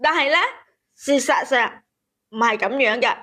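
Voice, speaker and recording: High-voiced, plaintive woman caller. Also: normal speed, sharp tone. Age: 30s. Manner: plaintive, aggrieved. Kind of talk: personal storytelling on a call-in.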